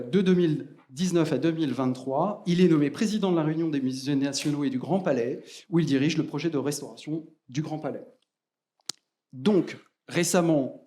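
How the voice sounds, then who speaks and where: A man with a fairly high voice presents the evening's speaker in French. He reads a formal introduction and often pauses on filler sounds. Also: dark tone.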